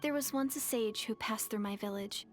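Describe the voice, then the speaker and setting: Woman voicing a shy, gentle village girl. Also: a little high, normal speed, soft background music, lightly acted. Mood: earnest. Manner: soft-spoken, hesitant and bashful. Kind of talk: game dialogue.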